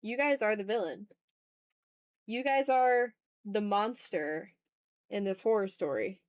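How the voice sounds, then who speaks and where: A woman's voice: mid-range voice, takes it slowly, dry recording, studio quality. A woman chatting casually as she draws on a livestream, teasing her viewers with playful mock exasperation. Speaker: art streamer. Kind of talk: casual livestream chat while drawing.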